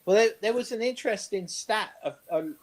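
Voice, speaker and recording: High-voiced man in casual sports banter. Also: brisk. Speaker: sports show panellist.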